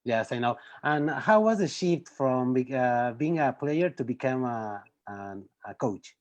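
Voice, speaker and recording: A man's voice: mid-range voice, expressive, measured pace. Hesitant male host on a dull line. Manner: hesitant, many fillers and restarts. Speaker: interviewer, host. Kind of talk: interview questions.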